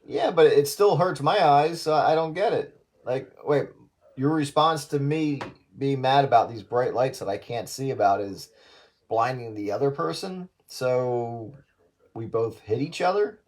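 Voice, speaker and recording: A man's voice: mid-range voice, animated, a little slow, darkish tone. A man in casual radio chat with other hobbyists, teasing and argumentative, mockingly quoting people over two-way radio audio.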